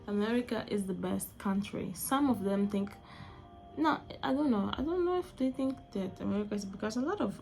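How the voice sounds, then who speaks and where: Young female vlogger from Angola, Portuguese first language, gravelly, restarts phrases a lot. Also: mid-range voice, darkish tone. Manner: chatty, searching for words, restarts phrases. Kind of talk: casual opinion vlog.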